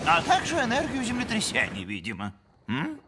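A man's voice: high-pitched, huge pitch swings, moderate pace, noisy background. A man voicing a courteous, know-it-all moose teacher in an educational children's cartoon, theatrical and polite. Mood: amused, enthusiastic. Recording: music behind the voice.

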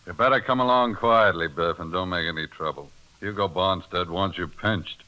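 A man's voice: low-pitched, moderate pace, pushed and bright. An actor playing a stern small-town policeman in a 1940s radio drama. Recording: dull 1940s radio broadcast.

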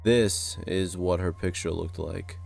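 A man reading scripted narration for a video essay in a measured, serious way. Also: sits low, steady tone, gravelly, darkish tone, breathy edge, faint music behind. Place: close-recorded voice-over with music behind.

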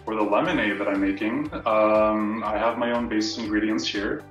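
Casting: young man, slightly low voice, casual student presenter on a roomy video call with music behind. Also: fairly even intonation, moderate pace, fairly bright tone, muffled recording. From Canada. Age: young adult. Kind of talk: casual personal talk to camera. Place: indoor room at home, on video call. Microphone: camera mic at a distance. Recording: roomy echo, distant mic, music behind.

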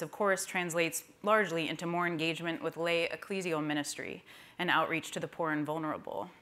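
A woman in her thirties presents on a panel, partly from notes. Her voice sits low for a woman, with a bright, forward edge. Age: 30s. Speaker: panel speaker. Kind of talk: semi-scripted panel presentation. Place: wood-panelled library room at a panel table. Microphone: lapel.

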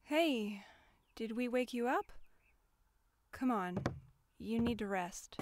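A woman playing an earnest, easily wounded teenage girl in an animated drama, speaking in a halting, emotional way. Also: high-pitched, background noise. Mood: quiet, hurt.

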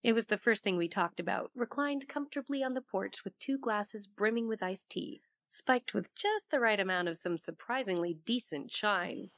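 A woman playing an inquisitive investigative reporter, recounting her case as measured scripted audio drama narration. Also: medium pitch, lively intonation, a bit bright, dry recording. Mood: measured, intrigued. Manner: measured, storytelling.

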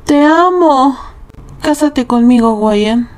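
A woman's voice: high-pitched, animated, slow pace. A woman voicing a lovesick, jealous boy in a Latin American Spanish comic fandub, pleading and overdramatic. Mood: heartbroken pleading.

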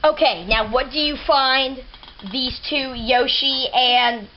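A woman's voice: sits high, fairly even intonation, slow, a little hiss or hum. A young woman narrating and playing prosecutor in a home plush toy puppet show, playful and a little giggly. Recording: dull lo-fi home camera audio.

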